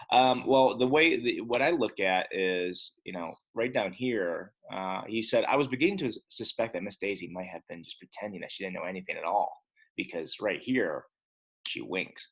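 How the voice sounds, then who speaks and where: A man's voice: medium pitch, fairly bright tone, breathy edge. A man teaching young children in a casual read-aloud style, chatty and speaking directly to his pupils. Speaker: elementary school teacher.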